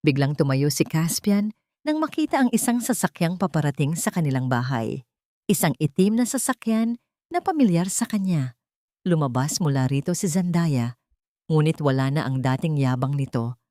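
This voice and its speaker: A woman's voice: low voice, very animated, moderate pace, dark tone, dry recording, studio quality. A woman reading a scripted dramatic love story as storyteller, in a solemn, melodramatic manner.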